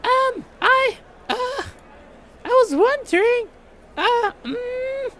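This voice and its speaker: A man's voice: very high voice, animated, drawn-out words, sharp tone, voice pushed up, heavily acted. A man voicing a shy, eager teenage anime girl in a visual novel, hesitant and flustered.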